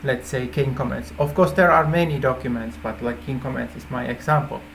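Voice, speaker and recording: A native Finnish man speaking English with a Finnish accent, informally explaining an idea in halting phrases with repeated words. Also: mid-range voice, fairly even intonation, gravelly, a bit bright, a little hiss or hum. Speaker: app developer presenting a feature idea.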